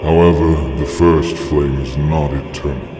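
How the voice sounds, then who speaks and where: A man voicing an ancient dragon elder in a comic dub, speaking in a solemn, ominous and deliberate storytelling manner. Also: a bass voice, fairly even intonation. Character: ancient male dragon elder, grave and prophetic. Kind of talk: dramatic comic dub acting. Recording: long cavernous reverb under music.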